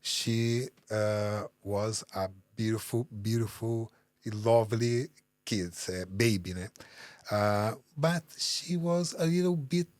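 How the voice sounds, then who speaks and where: Halting Brazilian man, searching for words. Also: on the low side, a little mellow, no room sound. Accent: Brazilian Portuguese. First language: Portuguese. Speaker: guest. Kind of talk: interview answers.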